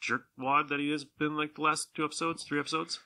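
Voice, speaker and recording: A man's voice: on the high side, level tone, brisk, bright, forceful tone. A man hosting a podcast in a casual, chatty group conversation. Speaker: podcast host.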